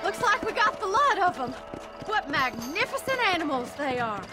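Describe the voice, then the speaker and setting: A woman's voice: very high-pitched, sing-song, normal speed, over loud music. An actress playing a forthright, practical young woman rancher of the Old West in a video game, with an American accent. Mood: excited, urgent. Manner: forthright, outspoken. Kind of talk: video game cutscene acting. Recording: clear background noise, outdoor ranch ambience.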